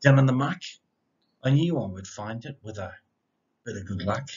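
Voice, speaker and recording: A man's voice: mid-range voice, animated, moderate pace, darkish tone, breathy edge, dull audio, voice pushed down. A man voicing a dim-witted, hopeful male dog from a picture book, muttering earnestly to himself.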